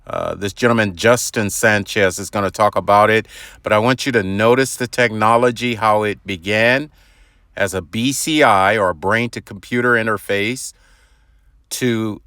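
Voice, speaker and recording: A man's voice: fairly low pitch, normal speed, pushed and bright, clear, resonant tone, no room sound, studio quality. A man hosting an online commentary, explaining things directly to his audience. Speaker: host commentator.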